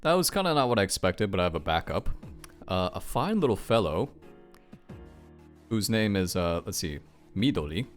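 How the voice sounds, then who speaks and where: Low-voiced man reacting animatedly to game news. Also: sing-song, normal speed, long pauses, soft background music. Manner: casual, profane, exclamatory, thinking aloud. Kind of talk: casual reaction commentary.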